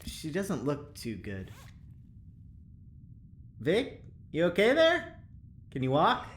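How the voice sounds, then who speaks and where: An actor playing a gentle, hesitant lab doctor in an audio drama, tentative and soothing. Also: male voice, a little high, wildly swooping pitch, takes it slowly, full character voice. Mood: soothing concern.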